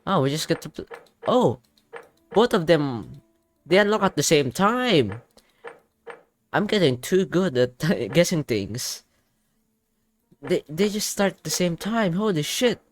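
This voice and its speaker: A young man giving casual game reaction commentary, excitable and thinking aloud. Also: on the high side, slow, pauses often, slight background noise. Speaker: gaming YouTuber. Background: game music.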